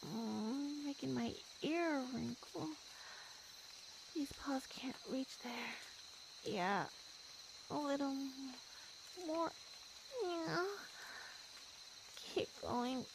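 A languid feline monster girl, played by a woman in an ASMR audio roleplay. Her voice is fairly high and swoops freely, moving at an extremely slow pace with drawn-out murmurs and sighs. She is possessive and predatory, yet affectionate. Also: expressive, creaky, noisy background, exaggerated voice. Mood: contented, languid.

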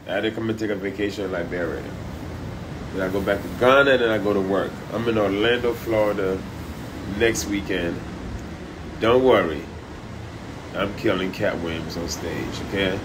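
Brash male comedian on a phone live stream, low-ish voice, trash-talking, audible room hum. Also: moderate pace, pauses often. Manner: loud, profane, animated trash talk. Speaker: comedian livestreaming. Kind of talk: casual live stream chat. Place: high-rise hotel room by the beach. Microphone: phone held at arm's length.

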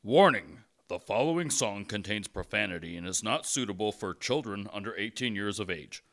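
An officious, cautious conscience played by a man in an audio comedy sketch. He has a lowish voice and insists on things like a legal announcer. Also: lively intonation, moderate pace, fairly bright tone. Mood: stern, official.

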